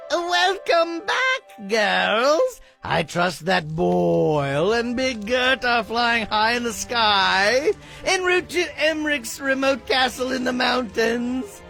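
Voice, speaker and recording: An actor voicing an excitable, pompous little king in an animated film, with a gushing, theatrical, stammering delivery. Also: mid-range voice, takes it slowly, vocal fry, faint music behind, exaggerated voice. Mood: giddy, pleased. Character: excitable, pompous cartoon king of tiny folk.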